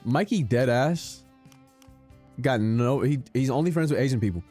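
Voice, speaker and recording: A man's voice: mid-range voice, animated, moderate pace, a little mellow. A man teasing his friend in profane, casual banter while they play a game on stream. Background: game music.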